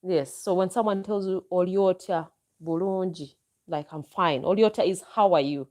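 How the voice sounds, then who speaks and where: A woman's voice: fairly low pitch, moderate pace, darkish tone. A Ugandan woman vlogger chatting casually and enthusiastically as she answers viewer comments, with frequent exclamations. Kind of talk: casual live chat.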